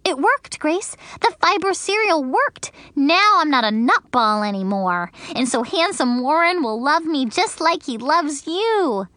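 An excitable little first-grader chatters away in an eager, earnest rush, as a woman puts her on. The voice sits high and swoops around, read close in a dry, quiet booth. It sounds like a storybook audiobook. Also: measured pace, few pauses, no echo, full character voice. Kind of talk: audiobook character voice.

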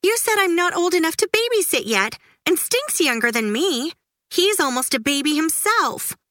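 A woman voicing a spirited, excitable young girl who loves pranks, in a high storybook voice. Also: normal speed, no echo, studio quality, exaggerated voice. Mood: excited.

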